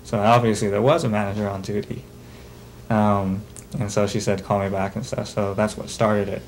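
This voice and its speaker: Low, flat-voiced man recounting casually. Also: normal speed, poor audio. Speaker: documentary interviewee. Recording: faint noise, amateur video interview.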